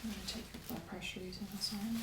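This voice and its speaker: Female, soft-spoken ASMR medical roleplayer, gentle doctor manner, mid-range pitch, echoey room sound. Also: fairly even intonation. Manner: gentle, unhurried questioning and step-by-step instructions. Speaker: ASMR creator playing a doctor doing a medical exam. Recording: roomy echo, clear background hiss.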